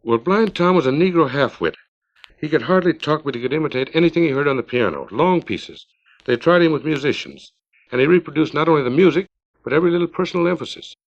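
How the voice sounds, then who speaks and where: A man's voice: a little high, expressive, quick pace, voice pushed up. A man reading an earnest small-town man's emphatic, colloquial dialogue in a story, on a 1950s record. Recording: dull 1950s vinyl record.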